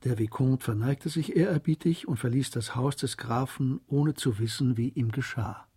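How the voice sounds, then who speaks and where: An actor serving as the calm storyteller of a German radio drama, narrating literary prose in a mellow, husky voice. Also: male voice, mid-range voice, normal speed.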